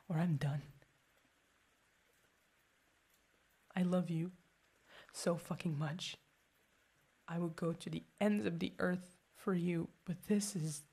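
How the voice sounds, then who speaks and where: A woman's voice: lowish voice, dark tone, husky. A young woman playing a protective girlfriend who is furious and hurt, mostly whispering with stumbling, intense outbursts in an ASMR roleplay. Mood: hurt, loving.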